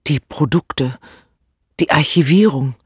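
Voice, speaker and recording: An actress playing a weary, bleak inner voice in a literary radio play, speaking husky, clipped fragments. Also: female voice, deep voice, slow pace, no echo, studio quality, full character voice. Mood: weary, detached.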